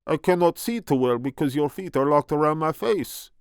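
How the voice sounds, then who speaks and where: A man's voice: fairly high pitch, expressive, moderate pace, clear, resonant tone, dry recording, clean studio sound, voice pushed up. A man voicing a meek, obedient giant from a storybook, apologetic and polite.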